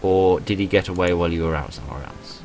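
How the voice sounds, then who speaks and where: A man's voice: low voice, quick pace, a little mellow, faint music behind. A man voicing a polite, helpful and mildly hesitant homebody woman painter in a visual novel.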